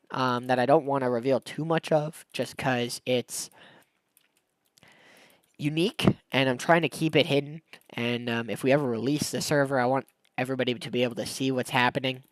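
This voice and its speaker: A man's voice: medium pitch, no echo. A young man chatting casually on a game livestream, rambling in a laid-back, slangy way with a congested, nasal voice from a cold. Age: young adult. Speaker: game streamer. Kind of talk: casual livestream chat while gaming.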